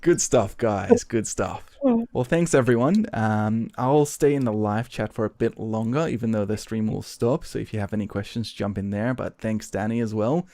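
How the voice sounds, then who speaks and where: A man with an Australian accent and a soft voice, hosting a casual live stream chat in a relaxed, upbeat way. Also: lowish voice, normal speed, dry recording.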